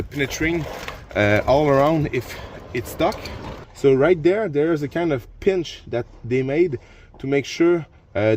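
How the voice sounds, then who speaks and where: A man's voice: fairly high pitch, a little mellow, slight background noise. A man whose first language is French, explaining a car repair step by step in a casual how-to manner with many fillers and restarts. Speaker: DIY car repair youtuber. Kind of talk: casual how-to narration while working. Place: garage or driveway by a car.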